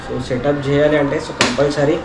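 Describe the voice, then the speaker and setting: A man's voice: on the high side, little pitch movement, a little fast. A man with a Telugu accent giving a casual step-by-step tutorial as a vlogger, mixing in English words. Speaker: vlogger presenting an installation guide. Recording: clearly noisy recording with ambient sound.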